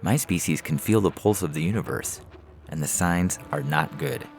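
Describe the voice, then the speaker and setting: A man acts out a stern, impatient alien project leader in a science fiction audio story. The voice is low and insistent, with faint music beneath it. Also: moderate pace. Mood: grave, urgent. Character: stern, exasperated alien project leader, duress-worn and unkind. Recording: music under the voice.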